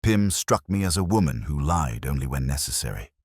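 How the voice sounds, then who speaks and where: Very deep-voiced man narrating a mystery. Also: sing-song, a little mellow, husky, dry recording, clean studio sound. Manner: measured, solemn, literary storytelling. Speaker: narrator of a detective story. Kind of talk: scripted first-person mystery narration.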